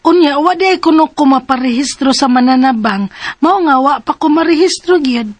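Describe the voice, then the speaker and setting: A woman's voice: sits high, moderate pace, no room sound, close to an everyday voice. An actress playing an earnest fifteen-year-old girl who pleads, in a radio drama. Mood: pleading. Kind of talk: radio drama acting and narration. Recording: radio drama with music behind.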